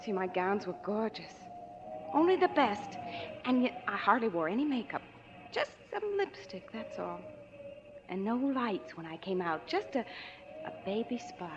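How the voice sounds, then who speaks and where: An actress playing a fragile, alcoholic American former nightclub singer in 1940s radio drama, wistful, halting and pleading. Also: female voice, medium pitch, big pitch swings. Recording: muffled 1940s radio recording.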